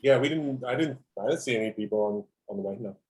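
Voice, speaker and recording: A man's voice: medium pitch, fast, darkish tone, slightly rough, no echo, natural voice, rough recording. A man playing a cocky, blunt antihero soldier in a script read-through. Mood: casual.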